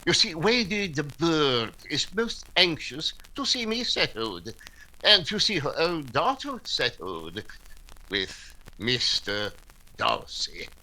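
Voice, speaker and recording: Stuffy pompous vicar suitor, slow n formal, swoopy bright voice, old radio comedy. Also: male voice, on the high side, huge pitch swings, full character voice, rough recording. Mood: pompous, earnest. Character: pompous, fussy, self-important English clergyman suitor. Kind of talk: period radio comedy acting.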